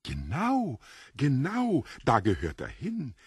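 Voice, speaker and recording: An actor playing a mischievous foreign gentleman in an audio drama, theatrical, effusively polite and mocking. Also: medium pitch, huge pitch swings, slow pace, slightly rough, no echo, studio quality, heavily acted. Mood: gleeful, mocking. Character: courtly, mischievous foreign stranger, devilishly theatrical.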